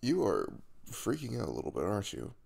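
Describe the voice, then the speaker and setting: A man's voice: on the low side, huge pitch swings, creaky, full character voice. A man playing a caring young crush the morning after a kiss in an intimate ASMR roleplay, speaking casually and hesitantly, with a reassuring manner. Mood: reassuring, flustered. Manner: hesitant, reassuring, with soft chuckles and trailing pauses.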